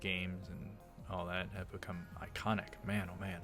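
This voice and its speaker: A man's voice: low voice, flat delivery, normal speed. A man hosting a let's play, reading a visual novel aloud and adding casual, hesitant, rambling asides. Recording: faint music behind. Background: visual novel background music.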